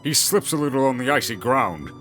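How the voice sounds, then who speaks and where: A man's voice: medium pitch, lively intonation, a little fast, music in the background. A man reading scripted fantasy story narration as the narrator of an audio drama.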